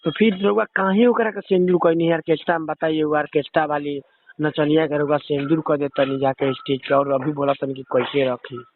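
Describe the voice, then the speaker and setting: A man's voice: on the high side, level tone, a little fast. A man with a Bhojpuri accent speaking as a media reporter in a phone interview, questioning in a confrontational, scolding way. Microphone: phone line. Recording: tinny, band-limited phone call.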